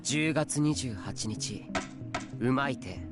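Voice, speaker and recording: A male actor playing an earnest, insistent teenage schoolboy sleuth in a Japanese visual novel, speaking deliberately. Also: medium pitch, over music, natural voice. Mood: grave.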